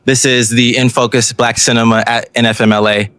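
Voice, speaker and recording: Hyped slangy host outdoors. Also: male voice, mid-range voice, level tone, brisk, a bit bright, clear, resonant tone, no room sound. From Los Angeles. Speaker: podcast host interviewing filmmakers. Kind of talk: casual on-location interview. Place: outdoors at a film festival, sirens nearby.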